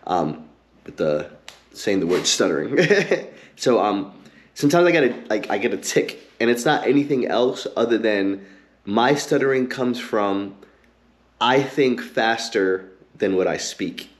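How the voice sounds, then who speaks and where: A man hosting his own podcast, ranting heatedly and cursing a lot, with an occasional stutter and pauses filled with um and like. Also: medium pitch, lively intonation. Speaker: podcast host. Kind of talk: ranting commentary.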